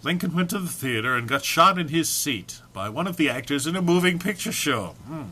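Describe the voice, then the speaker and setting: A man's voice: on the high side, lively intonation, brisk, bright, forceful tone. A man giving a dramatic comic reading of a humorous text, mock-serious in delivery with dry muttered asides. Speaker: reader.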